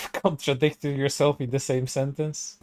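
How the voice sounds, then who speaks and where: A man's voice: medium pitch, darkish tone. Stammering, swearing man co-host. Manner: stammering restarts, heavy swearing, sarcastic. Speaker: co-host commenting on stream. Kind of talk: casual commentary.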